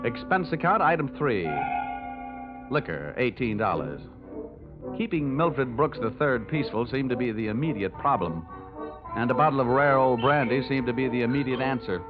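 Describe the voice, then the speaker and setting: A man's voice: mid-range voice, expressive, normal speed, lightly acted. An actor playing a wry, hardboiled insurance investigator in a 1950s radio detective drama, sardonic and flirtatious in his delivery. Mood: wry narrating. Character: wisecracking hardboiled male insurance investigator, smooth-talking with women. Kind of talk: 1950s radio detective drama acting, first-person narration. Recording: dull vintage 1950s radio recording with music.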